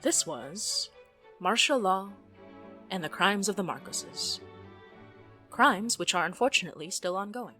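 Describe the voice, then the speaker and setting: A woman reading a scripted informational announcement formally, with deliberate emphasis. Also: medium pitch, expressive, bright, forceful tone, faint music behind. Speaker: podcast announcer.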